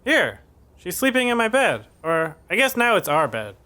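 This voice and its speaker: A man's voice: high voice, wildly swooping pitch, normal speed, pushed and bright, heavily acted. An actor playing an effusive, emotional young newlywed man in an audio sitcom, with a gushing, theatrical delivery. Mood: matter-of-fact.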